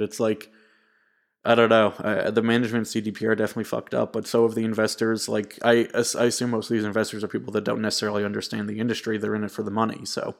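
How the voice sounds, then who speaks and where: A man with a fairly low voice chatting on a podcast. He speaks loosely, with plenty of casual swearing. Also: gravelly. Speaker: podcast co-host.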